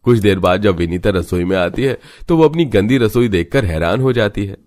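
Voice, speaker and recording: A man's voice: on the low side, lively intonation, a little fast, a little mellow. A man reading scripted narration for a cartoon family story as its narrator.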